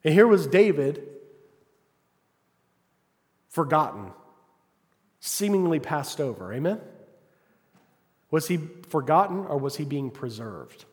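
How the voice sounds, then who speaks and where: A man preaching a sermon, calling for "amen" from the congregation and swinging between loud, fervent passages and quiet asides. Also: fairly high pitch, sing-song, slow pace, pauses often. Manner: call-and-response, asks congregation "Amen?". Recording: long hall reverb.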